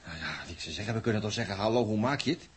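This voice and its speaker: An actor playing a gruff, impatient, hot-tempered crewman in a 1960s radio drama, blunt and grumbling. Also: fairly low pitch, animated, rapid-fire. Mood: restless, grumbling.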